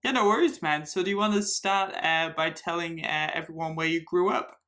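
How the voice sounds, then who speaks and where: A man's voice: fairly high pitch, a little fast, sharp tone. A man hosting a casual tea podcast interview, upbeat and chatty, often stammering and restarting his questions. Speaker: podcast host.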